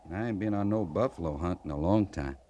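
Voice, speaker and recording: An actor playing a reluctant, folksy army sergeant in an old-time radio western. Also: male voice, low voice, quick pace, mellow, natural voice. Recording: noisy vintage radio recording.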